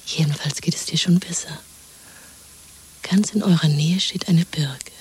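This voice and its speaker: A woman's voice: low voice, a little slow, heavily acted, low-quality recording. Whispering, soothing young woman. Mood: soothing, hypnotic. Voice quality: breathy whisper. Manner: hushed, coaxing, hypnotic. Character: tender, soothing young woman, a secret lover. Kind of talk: 1970s German radio drama acting.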